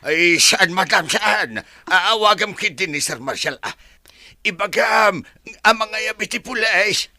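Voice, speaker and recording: A man playing an excitable, fussy servant of a mansion in a radio comedy drama. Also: sits high, a little slow, no echo, full character voice. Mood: panicked.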